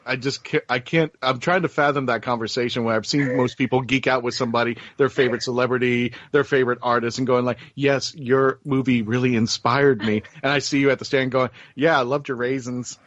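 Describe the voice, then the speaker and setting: A man's voice: mid-range voice, steady tone, fairly quick, hardly any pauses, a bit bright, no room sound, lo-fi sound. A man hosting a casual, chatty interview, with a theatrical, jokey delivery. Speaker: show host.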